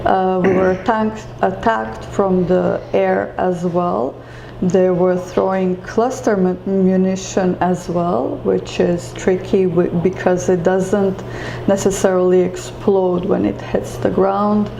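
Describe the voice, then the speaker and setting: Slow, gravelly woman in an echoey room. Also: mid-range voice, little pitch movement, dark tone. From Croatia. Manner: halting and reflective, many fillers and restarts. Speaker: interview guest. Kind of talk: personal story in an interview. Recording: echoey room with faint ambient noise.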